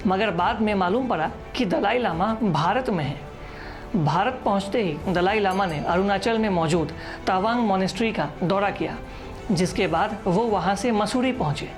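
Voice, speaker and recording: A woman reading a scripted news explainer as a narrator. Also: mid-range voice. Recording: voice-over with music underneath. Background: background music.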